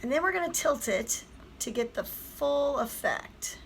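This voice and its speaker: A hoarse woman, a teacher, chattily explaining a craft project step by step. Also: high voice, expressive, a little slow, a little hiss or hum. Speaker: craft tutorial host. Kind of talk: craft tutorial voice-over.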